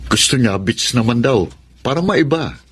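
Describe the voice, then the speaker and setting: A partial, proud father in a radio drama. His voice is mid-pitched and husky, with a conversational delivery. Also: animated, normal speed. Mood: defensive. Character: father doting on one son, cold to the other. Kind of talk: Tagalog radio drama acting.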